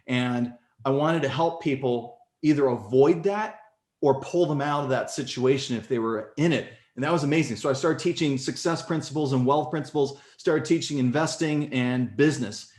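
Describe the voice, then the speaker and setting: A man in his forties telling his story as a podcast guest in casual conversation, animated and leaping up in pitch when excited. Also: moderate pace. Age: 40s. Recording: echoey room.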